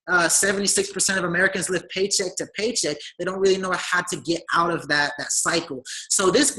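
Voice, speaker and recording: Man, raspy, hoarse, eager sales pitch, bright, pushy. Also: poor audio. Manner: eager, salesy, keeps addressing listeners as guys. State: hoarse from talking all day. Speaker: business opportunity presenter. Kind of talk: online sales pitch presentation.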